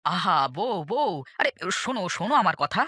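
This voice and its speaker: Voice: medium pitch, animated, moderate pace, pushed and bright, husky, no echo, studio quality. An actor voicing an exasperated village husband in a children's cartoon, pleading and reproachful. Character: exasperated village husband, scolding his wife. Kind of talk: Bengali children's cartoon voice acting.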